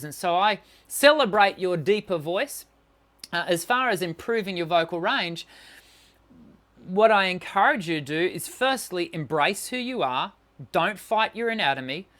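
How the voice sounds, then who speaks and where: A man's voice: high-pitched, bright, forceful tone. A middle-aged man with a tenor voice, a singing teacher answering viewer questions on a live stream, encouraging, with many fillers and restarts.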